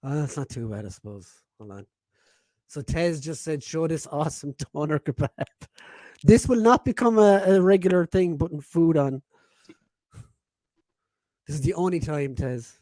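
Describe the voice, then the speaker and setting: A man's voice: fairly high pitch, expressive, normal speed, pauses often, mellow, no room sound. A man in his forties hosting a casual live-stream podcast, reading chat messages aloud, laughing often and swearing freely. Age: 40s.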